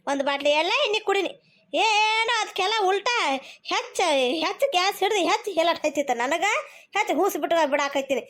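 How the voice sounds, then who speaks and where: A woman's voice: very high-pitched, lively intonation, a little fast, fairly bright tone. A woman with a North Karnataka accent voicing a cantankerous, aggrieved older mother-in-law in a cartoon comedy, scolding and repeating herself insistently. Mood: furious scolding.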